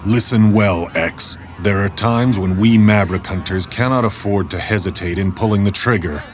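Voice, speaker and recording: An actor playing a stern male robot military commander in an animated dub, speaking formally and with measured authority. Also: fairly low pitch, lightly acted. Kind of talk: English-dubbed animation acting. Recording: music behind the voice.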